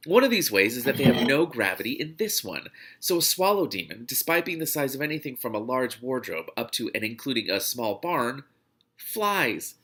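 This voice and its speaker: A man reading a novel aloud expressively, in an informal, animated way that leaves room for his own reactions. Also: mid-range voice. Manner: animated, informal, breaks off to comment. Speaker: reader and host of a read-along series.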